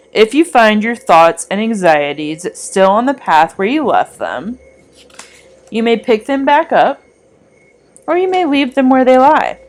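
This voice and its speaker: A woman reading a scripted guided meditation in a soothing, deliberate, gently instructive manner. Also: mid-range voice. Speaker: meditation guide, art teacher.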